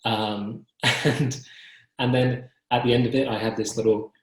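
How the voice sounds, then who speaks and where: A young man speaking as an interviewed poet, reflective and halting, often correcting himself, over a video call. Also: mid-range voice, fairly even intonation. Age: young adult. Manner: hesitant, halting, self-correcting. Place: book-lined room at home, indoors. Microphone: computer mic on video call. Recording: roomy, echoing video call.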